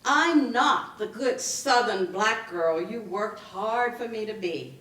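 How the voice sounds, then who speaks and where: Actress as bitter grieving daughter, slow and swooping. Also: female voice, medium pitch, expressive, fairly bright tone. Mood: defiant, grieving. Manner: biting sarcasm, emphatic dramatic delivery. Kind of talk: staged dramatic reading. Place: indoor live reading at a public library. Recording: echoey room, picked up from a distance.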